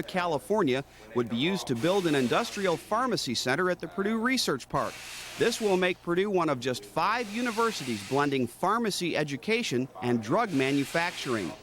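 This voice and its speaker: Guy narrating a newsreel, highish voice, really animated intonation, some noise behind him. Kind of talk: scripted news narration.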